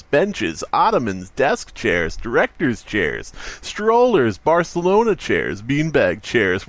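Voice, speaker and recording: A man's voice: medium pitch, no echo, full character voice. A man playing an over-eager, rambling salesman obsessed with his product in a spoof radio commercial.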